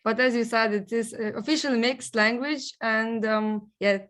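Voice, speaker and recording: A woman's voice: a little high, steady tone, moderate pace, fairly bright tone. A woman student from Ukraine with a Ukrainian accent, asking hesitantly and searching for words. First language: Surzhyk.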